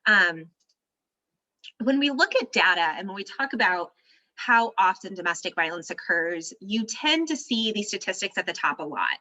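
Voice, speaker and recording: A woman leading a virtual training over a video call, her voice slightly hoarse, explaining steadily and clearing her throat now and then. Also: mid-range voice, pushed and bright, dry recording, rough recording.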